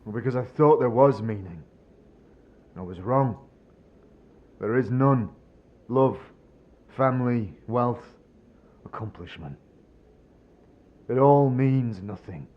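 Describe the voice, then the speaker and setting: A man's voice: medium pitch, slow pace, pauses often, highs cut off, natural voice. A man acting a bitter, despairing man who has lost all faith, brooding and sardonic, in a fantasy audio drama. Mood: bleak, hopeless. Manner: brooding, sardonic, rhetorical questioning.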